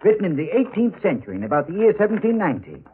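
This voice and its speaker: An actor playing an earnest old town librarian with formal, halting, bookish diction in 1940s radio drama style. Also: male voice, sits high, very animated, slight background noise. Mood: excited, earnest. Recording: muffled vintage radio recording.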